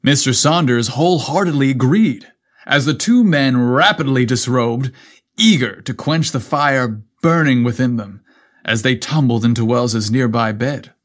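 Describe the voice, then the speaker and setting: A man's voice: medium pitch, measured pace. A man narrating a scripted story as a podcast host, with a playful, cheeky manner.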